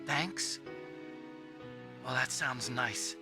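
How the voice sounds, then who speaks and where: A man playing an earnest, gentle and slightly nervous computer expert in a video game drama. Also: medium pitch, very slow. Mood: heartfelt. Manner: earnest, hesitant. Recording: music behind the voice. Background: game score.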